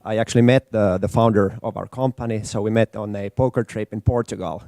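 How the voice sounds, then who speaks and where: A man, a startup co-founder on a panel, relaxed and repeating words as he talks. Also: on the low side, brisk, no room sound, clean studio sound. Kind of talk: panel talk. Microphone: handheld.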